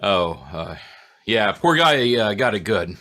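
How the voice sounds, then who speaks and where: A male actor's voice plays a friendly homicide cop in a 1940s radio play. It is forceful and bright with wide swings of pitch, yet the phrasing is hesitant and slightly awkward. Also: very animated, exaggerated voice. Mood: friendly, curious. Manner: hesitant, halting. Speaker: actor in an old-time radio detective play.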